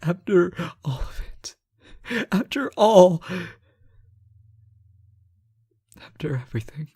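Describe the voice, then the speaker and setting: A man playing a lovesick, anguished captive ruler in a fantasy romance audio drama, halting and often dropping toward a whisper. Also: high-pitched, expressive, warm tone, slightly rough, heavily acted. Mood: hurt, wistful. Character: captive reckless but benevolent male ruler, lovesick and anguished. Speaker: audio roleplay performer.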